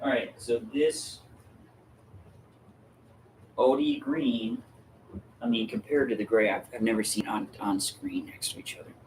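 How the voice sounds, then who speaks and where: A man's voice: fairly low pitch, measured pace, long pauses, low-quality recording. A man hosting a casual stream, talking informally and thinking aloud. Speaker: livestream host and product maker. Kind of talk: casual chat. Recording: faint background noise.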